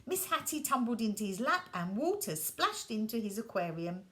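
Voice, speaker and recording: A woman reading a children's bedtime story aloud, gentle and expressive, sometimes dropping her voice soft. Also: fairly high pitch, normal speed.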